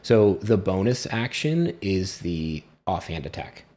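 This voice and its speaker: A man acting as game master, casually and haltingly narrating a tabletop fantasy game. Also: low-pitched, sing-song, darkish tone.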